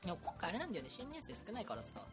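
A young male podcast host chats casually and quickly, his pitch jumping around a lot. He banters bluntly with his friends and teases them. Music plays clearly behind him. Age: young adult. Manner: animated, blunt, teasing banter. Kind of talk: casual joking chat among student friends.

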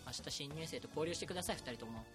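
A man's voice: on the high side, very fast. A young man hosting a casual chat among student friends, with blunt, teasing banter. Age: young adult. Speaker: podcast host. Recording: music playing behind the voice. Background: music.

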